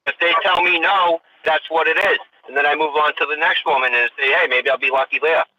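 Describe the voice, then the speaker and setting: A man's voice: fairly high pitch, steady tone, fairly quick. An American man in his forties chatting casually on a livestream with emphatic, repetitive opinions and some swearing. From United States. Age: 40s. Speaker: livestream host. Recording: tinny radio-like sound, distant mic, faint noise.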